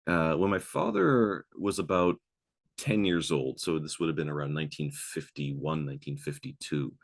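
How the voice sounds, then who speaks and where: Very deep-voiced Newfoundland man. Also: animated, moderate pace, creaky. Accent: Newfoundland, softened by voice training. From Newfoundland, Canada. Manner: projected, trained podcast voice. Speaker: podcast host. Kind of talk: casual podcast chat and storytelling.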